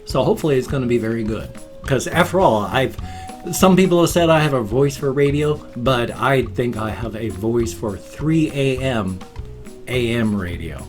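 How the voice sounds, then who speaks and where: A man in his fifties from the United States, chatting casually in a vlog and drawling his thank-yous slowly. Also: mid-range voice, expressive, faint music behind. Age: 50s. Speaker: vlogger.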